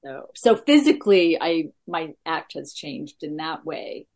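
A middle-aged woman stand-up comedian with a gravelly voice, talking in a halting, casual interview with many fillers. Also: big pitch swings, a little mellow. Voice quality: gravelly, deeper voice.

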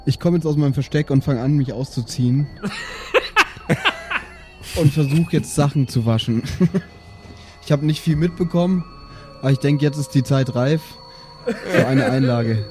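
A man's voice: medium pitch, moderate pace, long pauses, over music. A man casually improvising as a player in a tabletop roleplay.

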